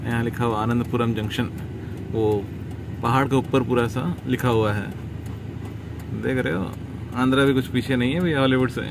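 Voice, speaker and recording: Chatty road-tripping man, loud road noise. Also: mid-range voice, steady tone, normal speed, long pauses. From India. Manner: chatty, mixes English phrases into Hindi. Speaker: vlogger. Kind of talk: casual travel vlog narration. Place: inside a car and by a highway outdoors. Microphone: action camera at arm's length. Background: car and road noise.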